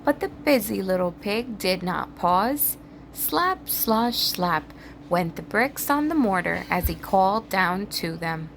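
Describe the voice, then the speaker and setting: A woman reading a children's story aloud in an expressive, dramatized storytelling manner. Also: mid-range voice, slow pace, creaky, slight background noise, poor audio. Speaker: storybook reader. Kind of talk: children's read-aloud narration.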